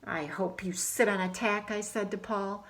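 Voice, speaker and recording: A woman's voice: medium pitch, fairly even intonation, moderate pace, a bit bright, natural voice. A woman reading the lines of a grumpy young boy from a picture book, complaining and emphatic. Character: grumpy young boy having a bad day. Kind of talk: picture book read aloud.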